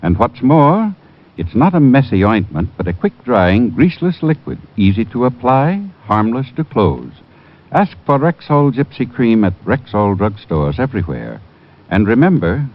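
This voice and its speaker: Casting: American male commercial spokesman, slightly deep, animated intonation, instructive, muffled old-radio sound. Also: measured pace. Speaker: commercial pitchman, druggist spokesman. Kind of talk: vintage radio advertisement reading. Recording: dull vintage radio recording.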